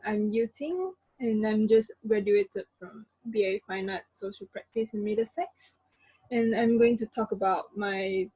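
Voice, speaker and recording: A woman's voice: mid-range voice, warm tone, slightly rough, no echo, low-quality recording. A young woman with a Malaysian accent giving an informal, hesitant student presentation over an online call. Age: young adult. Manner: hesitant, halting.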